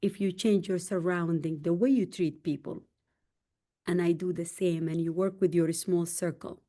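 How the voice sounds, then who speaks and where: Earnest Sudanese-accented woman, low mellow voice. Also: steady tone, moderate pace. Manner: emphatic and heartfelt, rising in pitch on key points. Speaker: podcast guest. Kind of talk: candid conversational storytelling.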